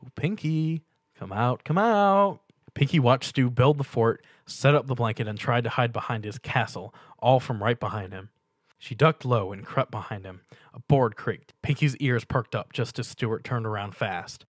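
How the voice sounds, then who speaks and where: A man's voice: medium pitch, moderate pace, dry recording, clean studio sound. A man reading a piece of fan fiction aloud as dramatic story narration.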